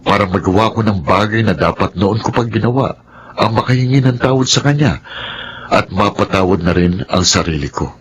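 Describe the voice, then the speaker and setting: An actor with a Filipino accent playing a remorseful husband who talks in an earnest, halting way, in a radio drama. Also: male voice, on the low side, slight background noise, lightly acted. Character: remorseful middle-aged husband seeking forgiveness. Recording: radio drama with soft music.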